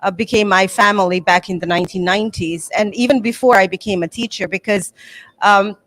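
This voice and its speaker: A woman's voice: medium pitch, fairly even intonation, a little fast, a bit bright, rough recording. A middle-aged woman from the Balkans, a Serbo-Croatian native speaker, answering conference interview questions earnestly and thoughtfully, with many fillers. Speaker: materials writer and incoming association president.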